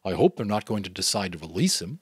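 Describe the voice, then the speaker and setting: A man reading as a calm matter-of-fact father. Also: low-pitched, fast talker, breathy edge, dry recording, clean studio sound, voice pushed down, full character voice. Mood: worried.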